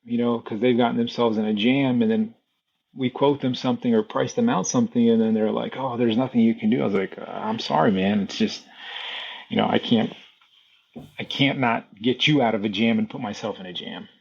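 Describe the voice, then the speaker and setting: A man in his thirties from Brazil, a podcast guest talking casually about running a repair shop, relaxed and repeating himself, often saying "man". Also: medium pitch, fairly quick, gravelly, slightly rough, dull audio. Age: 30s. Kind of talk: casual conversation.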